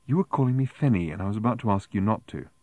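A self-possessed young woman in a storybook read by a man, lively in intonation and closely recorded. She is brisk and teasing, with a playful sternness. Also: lowish voice, no room sound, close to an everyday voice. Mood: matter-of-fact.

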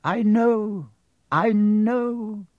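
A man voicing a kindly old wizard in an audiobook, calm, measured and gentle. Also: high voice, vocal fry, dry recording, phone-quality sound, voice pushed up, heavily acted. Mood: heavy, sorrowful.

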